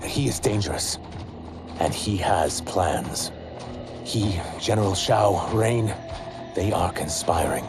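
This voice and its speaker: Reptile shapeshifter, low voice, subdued, earnest, music behind. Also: male voice, expressive, slow pace, long pauses. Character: outcast male reptilian shapeshifter, remorseful.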